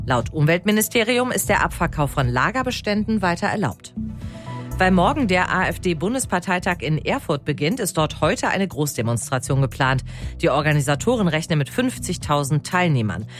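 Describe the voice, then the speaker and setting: A newsreader delivering national headlines in a steady, formal scripted read. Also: mid-range voice, normal speed, faint music behind. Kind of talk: scripted radio news reading.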